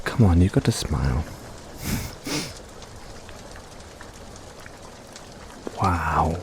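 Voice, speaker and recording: A man playing a caring, gently teasing boyfriend in a soft-spoken, playful comfort roleplay for an overworked partner. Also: on the low side, a little hiss or hum. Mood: playful concern. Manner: soft-spoken, playful, coaxing, chuckles often.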